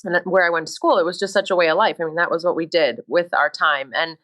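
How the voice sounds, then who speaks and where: A woman's voice: fairly low pitch, fast, a bit bright. A woman in her thirties from Minnesota, a sports reporter chatting as an interview guest, upbeat and self-deprecating. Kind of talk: casual interview.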